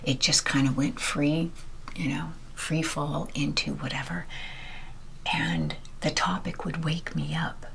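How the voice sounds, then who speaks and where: A woman whispering a rambling ASMR chat while ironing, her voice with a deeper timbre. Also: animated, a little slow, vocal fry, a bit bright, slight background noise. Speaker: ASMR creator. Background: ironing noises.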